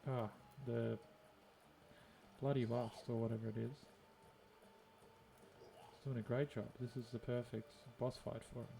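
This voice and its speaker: An Australian man with a mellow, relaxed voice gives casual gameplay commentary. He muses and thinks aloud as he plays. There is noticeable background noise on the recording. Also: mid-range voice, a little slow, creaky, pauses often, low-quality recording. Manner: musing, thinking aloud to himself. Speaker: gaming video host.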